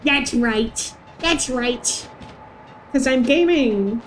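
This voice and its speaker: A woman's voice: high-pitched, drawn-out words, a little hiss or hum. A woman chatting casually and wryly while she plays through a video game on stream. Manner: playful, wry. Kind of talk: casual playthrough chat.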